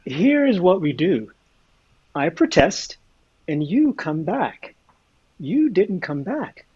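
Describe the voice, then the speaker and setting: A man acts out a teasing, defiant male lieutenant in a science fiction radio play. He draws words out slowly and lets the pitch swing playfully. The recording is a little dull and lo-fi. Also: very animated, darkish tone, slightly rough, heavily acted. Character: male starship lieutenant, coolly flirtatious and defiant. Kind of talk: science fiction audio drama acting.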